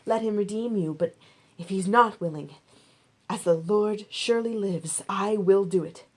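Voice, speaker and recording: A woman's voice: medium pitch, measured pace, close to an everyday voice. A woman voicing an earnest, decisive male landowner in a Bible storybook, speaking formally and declaratively. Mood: reassuring.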